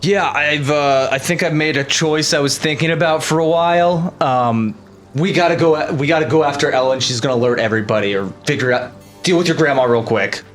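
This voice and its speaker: A man playing a tabletop roleplaying game, chatting casually in a halting, informal, sweary way. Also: fairly high pitch. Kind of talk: casual improvised game chat. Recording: faint music behind the voice. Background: background music score.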